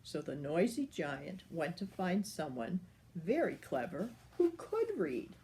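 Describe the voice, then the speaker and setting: Grandma reading a kids book out loud, slow and bouncy. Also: female voice, on the low side, sing-song. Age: older. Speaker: storyteller. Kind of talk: reading a picture book aloud to children.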